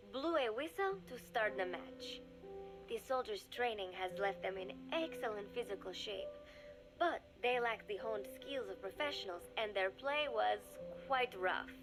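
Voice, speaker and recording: An actress voicing an earnest young woman reading her diary aloud in a video game, calm and reflective. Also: fairly high pitch, poor audio. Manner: calm, reflective, softly spoken. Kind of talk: game audio diary narration.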